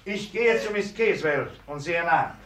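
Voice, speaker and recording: An actor playing a composed, reassuring police inspector in a 1950s radio play, measured and matter-of-fact. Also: male voice, a little high, lively intonation, fairly bright tone. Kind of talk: 1950s German-language radio play acting.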